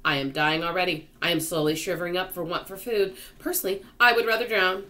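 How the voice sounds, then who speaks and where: A woman's voice: on the low side, steady tone, fast talker, sharp tone. A woman voicing a gloomy old male earthworm in a children's storybook, complaining emphatically and predicting doom. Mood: doom-laden.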